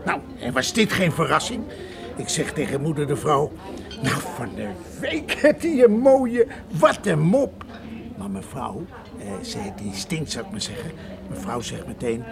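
An actor playing a folksy, excitable café proprietor in a radio play, garrulous and colloquial in his storytelling. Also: fairly high pitch, unhurried, creaky, a crowd in the background, heavily acted. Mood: excited gossip. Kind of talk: radio drama acting.

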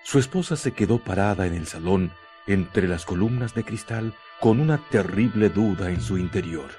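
A man's voice: sits low, moderate pace, warm tone, soft background music. A man narrating a radio drama in a scripted, dramatic storytelling style.

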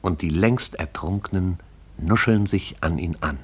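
A deep, husky man narrates a radio play. His delivery is lyrical and measured. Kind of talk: poetic radio drama narration.

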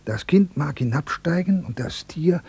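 An actor playing a dutiful, practical castle warden in a German radio drama, with a rough, husky voice. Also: male voice, a little high, expressive, unhurried, darkish tone, exaggerated voice. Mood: dutiful, firm.

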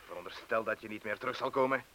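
A man's voice: lowish voice, fast talker, sharp tone. An actor playing a frightened, emotional man stuck beside a bomb in a radio play. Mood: anxious pleading. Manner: emotional, swinging between subdued and pleading. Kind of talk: Dutch radio play acting.

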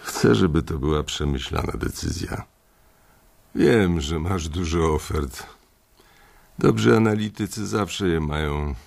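An actor playing an older, domineering bank boss who is blunt, curt and coarse in a radio drama. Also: male voice, very deep voice, expressive, a little slow, gravelly, a little mellow, full character voice. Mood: persuasive. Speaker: head of a bank trading floor.

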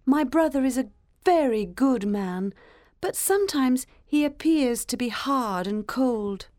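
A woman playing a concerned, excitable young sister in an audiobook, expressive and sympathetic. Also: sits high, a little slow, dry recording, studio quality. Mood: serious.

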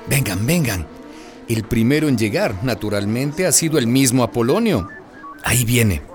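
A man narrating a radio drama as an expressive, folksy and wry storyteller. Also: medium pitch, moderate pace, faint music behind.

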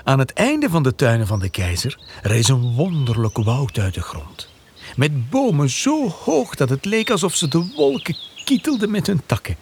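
Lively, expressive male storyteller. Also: on the high side, normal speed, slight background noise. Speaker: storyteller, narrator. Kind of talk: scripted narration of children's radio play.

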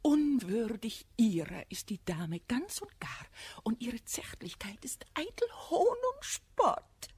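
An actress playing an effusive, confiding noblewoman who flatters and feigns sympathy in a classic verse radio comedy. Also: fairly high pitch, takes it slowly, husky, dry recording, heavily acted. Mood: pitying, insinuating.

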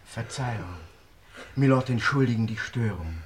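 An actor as a formal, deferential butler, noisy old recording. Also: male voice, mid-range voice, lively intonation, normal speed, natural voice. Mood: deferential, urgent. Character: discreet, formal butler of an English lord. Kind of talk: 1950s German radio play acting. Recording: noisy old 1950s radio play recording.

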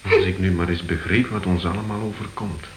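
An actor playing a baffled, mistrustful young runaway who mutters, in a radio drama. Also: male voice, deep voice, fairly even intonation, a little fast, over music, close to an everyday voice. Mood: baffled, sceptical. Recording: echoing old radio play recording.

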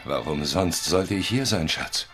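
Casting: naive lovesick man, deep register, pleading, radio crime drama. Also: expressive, a little slow, music in the background, exaggerated voice. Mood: tender, earnest.

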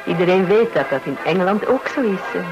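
A woman's voice: lowish voice, animated, a little fast, dull audio, over music, natural voice. An actress playing a polite, sociable English woman in conversational radio play dialogue. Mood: polite interest.

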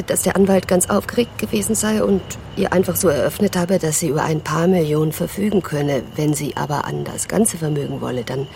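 A sombre woman in an audio drama. Her voice is low, mellow and gravelly with creak, her phrasing subdued and hesitant. Crickets chirp faintly behind her. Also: a little hiss or hum. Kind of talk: German audio drama acting.

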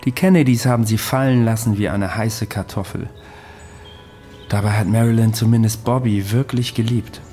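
A man with a fairly low, dark voice gives blunt documentary-style commentary. Faint music plays behind him. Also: steady tone, moderate pace.

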